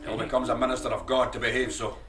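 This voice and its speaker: An actor playing a bold, shrewd, commanding fugitive soldier with a Scottish accent and a theatrical streak, in period radio drama. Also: male voice, medium pitch, little pitch movement, fast talker, a bit bright, a little hiss or hum, lightly acted. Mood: stern, commanding.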